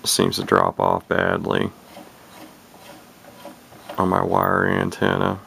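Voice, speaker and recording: A man's voice: low voice, very animated, slow pace, gravelly, a bit bright, a little hiss or hum. A man giving a casual, hesitant demonstration of a radio receiver, thinking aloud as he goes. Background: shortwave radio receiver playing.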